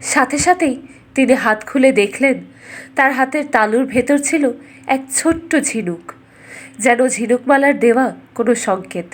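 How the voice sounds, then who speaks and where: Higher-voiced woman storyteller, dramatic delivery. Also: measured pace. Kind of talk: scripted fairy tale narration.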